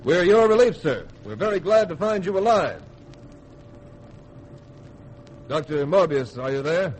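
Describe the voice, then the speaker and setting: An actor playing a steady spaceship commander in a 1950s radio drama, brisk and authoritative. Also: male voice, a little high, poor audio. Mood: firm, polite. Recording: faintly hissy 1950s radio recording.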